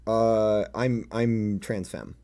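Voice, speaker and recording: A man in his late thirties chatting casually and haltingly with livestream viewers, in a self-deprecating way. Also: steady tone, dark tone. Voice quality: deep. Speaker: streamer reading own fan fiction.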